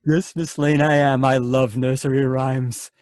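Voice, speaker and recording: A man's voice: medium pitch, level tone, a little slow, darkish tone, no room sound, lightly acted. Guy doing a sing-songy creepy doctor, radio drama style, dry sound. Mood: sinister. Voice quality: creepy, sing-song when reciting rhymes. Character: sinister male doctor, secretly a rhyme-loving killer. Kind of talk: old-time radio drama reading. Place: home room, over a video call. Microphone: home computer mic.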